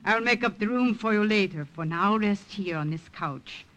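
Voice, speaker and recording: A woman's voice: medium pitch, moderate pace, fairly bright tone, lightly acted. An actress playing a doting, steadfast elderly Italian grandmother with an Italian accent in an old-time radio drama. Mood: tender. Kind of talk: 1940s-50s radio drama acting.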